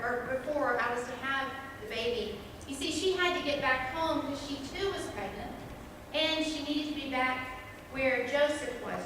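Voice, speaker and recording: A high-voiced, devout expectant mother from Bible times acted by a woman delivers an earnest monologue. She speaks slowly, with emphatic pauses. The sound rings in a large echoing church, with noise behind it. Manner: deliberate, emphatic, with long pauses. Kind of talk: dramatic first-person church monologue. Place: church sanctuary. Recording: echoey church hall, distant mic, background noise.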